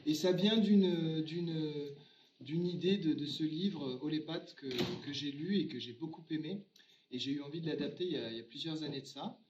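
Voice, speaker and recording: A man's voice: fairly high pitch, moderate pace, darkish tone, a little hiss or hum. Roomy, distant male interviewee from France. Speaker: theatre actor and company founder. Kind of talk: casual interview answers. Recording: roomy, distant mic, dull sound, faint music.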